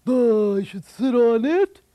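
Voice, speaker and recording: A woman voicing a dim-witted big male giant monster that talks slowly and dully. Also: fairly high pitch, lively intonation, mellow, no echo. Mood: dopey. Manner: slow, dull, drawn-out.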